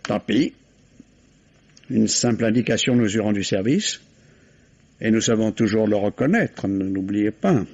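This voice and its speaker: Casting: suspicious inspector, husky mid-pitched voice, very animated intonation, vintage radio drama. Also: male voice. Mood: cool warning. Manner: measured, pointed, formal questioning. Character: formal, suspicious, insistent male police inspector. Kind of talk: vintage French radio mystery acting. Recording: dull, muffled old radio recording.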